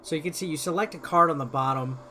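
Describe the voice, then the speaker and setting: Casual higher-voiced man doing game commentary. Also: fast, sharp tone, slight background noise. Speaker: gaming video host. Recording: music behind the voice. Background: game music.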